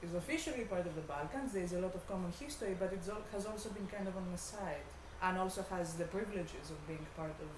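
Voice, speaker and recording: A woman from Greece with a Greek accent, a non-native English speaker, answering interview questions reflectively and haltingly as she searches for words. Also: on the low side. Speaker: artist being interviewed. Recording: echoey room with clear background noise.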